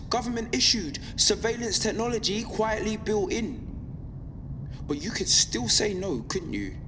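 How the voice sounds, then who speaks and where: A young woman playing a defiant, earnest underground radio broadcaster in an audio drama, speaking deliberately with emphatic repetition. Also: fairly low pitch. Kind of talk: scripted audio drama monologue. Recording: long reverb, faint background noise.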